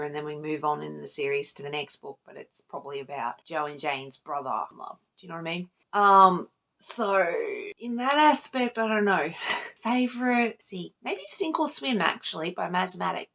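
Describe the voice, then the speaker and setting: Woman, fairly low pitch, gravelly fry, casual book vlogger, informal, rambling, self-interrupting, lightly sweary. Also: fairly bright tone. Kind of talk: casual chat to camera about books.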